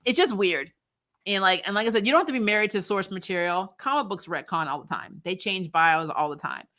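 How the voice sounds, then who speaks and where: A woman with a bright, forward voice at an ordinary female pitch hosts a casual recap chat. She talks bluntly and with strong opinions, swears freely and often breaks into laughter. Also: a little fast. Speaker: host of a comics and TV recap show. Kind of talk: casual chat, reviewing superhero shows and answering viewer comments.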